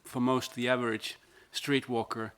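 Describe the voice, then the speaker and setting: A man's voice: mid-range voice, little pitch movement, normal speed, a bit bright, clean tone. A man from Finland speaking as a panelist in a plain, explanatory way. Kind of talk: panel discussion.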